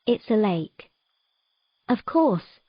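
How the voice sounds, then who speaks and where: Woman playing a calm mermaid, closely dry sound. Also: mid-range voice, drawn-out words, breathy edge, no echo, highs cut off, exaggerated voice. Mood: coaxing. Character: calm, frank, teasing female mermaid in mythical fantasy. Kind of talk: audio drama acting.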